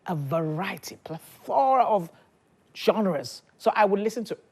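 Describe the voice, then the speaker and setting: A woman's voice: mid-range voice, animated, measured pace, a little mellow, slightly rough. A woman pastor in her fifties from Nigeria, chatting as an interview guest, laughing often and restarting her sentences. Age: 50s. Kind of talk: casual interview talk.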